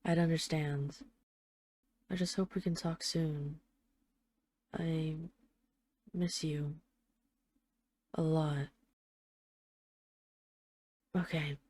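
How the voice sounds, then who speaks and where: A tough, possessive female mafia boss played by a woman leaves intimate voicemails in an audio roleplay. Her voice is on the low side and very soft-edged and dark, recorded close and dry. She is sweary and halting, yet tender toward the lover she addresses. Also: steady tone, no echo, full character voice. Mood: subdued, regretful.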